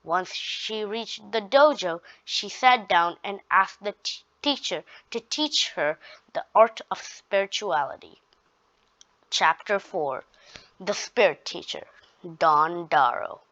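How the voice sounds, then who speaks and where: A woman reading her own story aloud, halting often and restarting her sentences. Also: mid-range voice, lively intonation, a bit bright, no room sound. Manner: halting, with frequent restarts and repeated words. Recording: dull, dry lo-fi recording.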